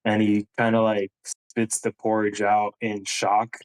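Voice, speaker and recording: A man chatting casually as a tabletop game player, halting and often giggly. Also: lowish voice, monotone, rough recording.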